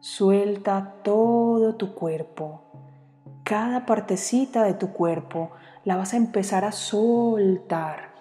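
Female meditation guide, soft dark tone, calm short phrases with long pauses, music underneath. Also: medium pitch. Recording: long reverb, music behind.

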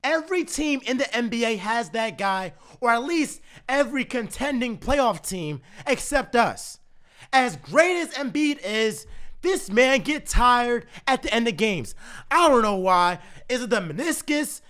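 A man's voice: very high-pitched, lively intonation, measured pace, a bit bright. A man ranting heatedly at his team as a fan, repeating himself, his voice strained from cheering. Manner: heated, repetitive, exasperated ranting. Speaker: sports fan. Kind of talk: ranting commentary to camera.

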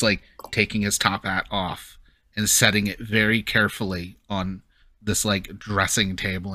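A man's voice: on the low side, bright, forceful tone. A man narrating as game master for a tabletop game, in a casual, rambling way full of fillers.

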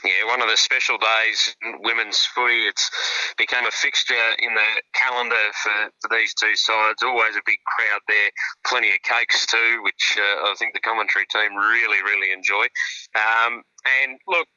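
A man with an Australian accent giving relaxed, chatty football predictions on a radio show, with fillers and restarts. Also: lowish voice, moderate pace, dry recording, dull audio. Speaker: football pundit, regular guest. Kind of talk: casual radio sports analysis.

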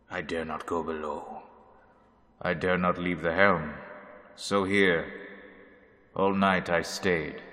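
A man's voice: low voice, fairly bright tone. A man playing a fearful ship captain reading his log alone in a radio drama. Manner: slow, solemn log reading. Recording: long hall reverb.

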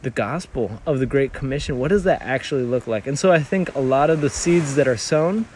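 A man's voice: mid-range voice, animated, a little fast, a little mellow, a little hiss or hum. A man who grew up in Tanzania, talking earnestly as a missionary in an interview, telling stories with frequent restarts. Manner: earnest, storytelling, many restarts. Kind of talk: conversational interview.

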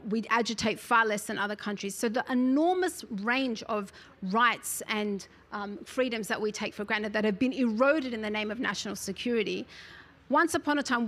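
A woman, speaking as an interview guest who talks about her book in an articulate, earnest way and often fills pauses with "you know". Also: mid-range voice, a bit bright. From Australia. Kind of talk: conversational interview.

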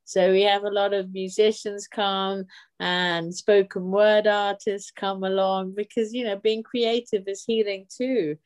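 A woman's voice: medium pitch, flat delivery, unhurried, smooth. A woman, a guest who runs an online spiritual support group, talking in an effusive, chatty way with frequent laughter. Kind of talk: casual video chat interview.

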